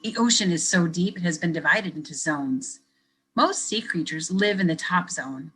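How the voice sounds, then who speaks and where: Husky-voiced woman reading aloud to children. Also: on the low side, normal speed, rough recording. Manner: gentle, expressive storybook reading with questions to young listeners. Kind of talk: children's read-aloud with teacher commentary.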